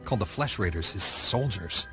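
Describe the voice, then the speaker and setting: Blunt young male Jedi, very deep voice, dry delivery, game music behind. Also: expressive, moderate pace, creaky. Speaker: player character. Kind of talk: video game voice acting.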